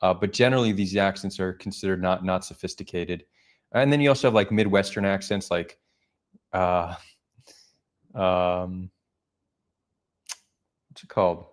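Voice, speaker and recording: Lowish guy chatting on a livestream, loose and rambly, lots of ums. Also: steady tone, measured pace, pauses often. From United States. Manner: rambling, many fillers and restarts. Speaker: livestream host. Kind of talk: casual chat.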